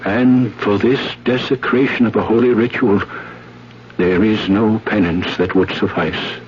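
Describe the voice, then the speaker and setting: Actor playing a grave, deep-voiced stern priest. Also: male voice, steady tone, a little mellow, slight background noise, lightly acted. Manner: solemn, deliberate, declamatory. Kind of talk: 1970s radio drama acting. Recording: dull, humming 1970s radio recording.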